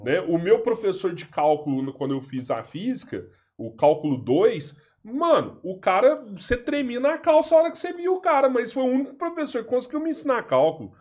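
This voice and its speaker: A man's voice: extremely high pitch, sing-song, a little fast, hardly any pauses, darkish tone. A man hosting a casual podcast, talking informally with slang and frequent restarts. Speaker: podcast host.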